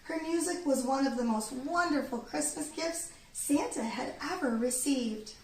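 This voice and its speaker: A woman reading a children's storybook aloud in an expressive, deliberate storytelling manner. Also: high voice. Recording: echoey room, distant mic, background noise.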